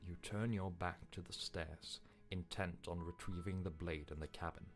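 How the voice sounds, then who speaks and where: A man voicing a grave, commanding, wary narrator in a story game, with measured, ominous delivery. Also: sits low, steady tone, faint music behind.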